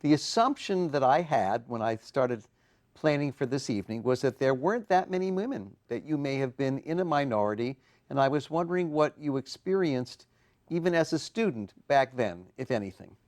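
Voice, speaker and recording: A man's voice: medium pitch, expressive, normal speed, darkish tone. An older man hosting a studio talk show, speaking in a chatty way and asking strings of questions. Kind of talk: TV studio interview. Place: TV studio set.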